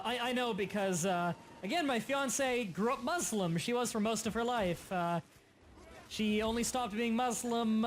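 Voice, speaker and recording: A young man hosting a casual gaming livestream, explaining game mechanics in quick bursts and sometimes stuttering. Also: high voice. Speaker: livestream host. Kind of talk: casual gaming stream chat.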